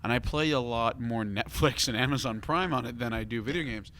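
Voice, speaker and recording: A man's voice: medium pitch, fairly quick, fairly bright tone. A man hosting a casual podcast discussion, talking in an emphatic, questioning way. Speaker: podcast host. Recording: noticeable background room noise.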